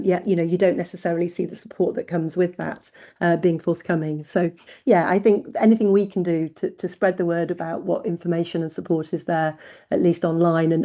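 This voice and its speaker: Mellow woman on a dull video call. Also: on the low side. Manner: earnest, thinking aloud with frequent fillers and restarts. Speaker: researcher presenting at a webinar. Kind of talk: conversational answer in an online Q&A. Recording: dull lo-fi webinar audio.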